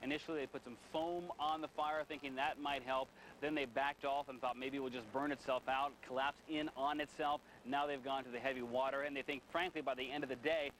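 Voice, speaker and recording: A man's voice: mid-range voice, fairly even intonation, fairly quick, few pauses, a bit bright, slight background noise, low-quality recording. A male field reporter giving a conversational, explanatory live news report outdoors near a fire scene.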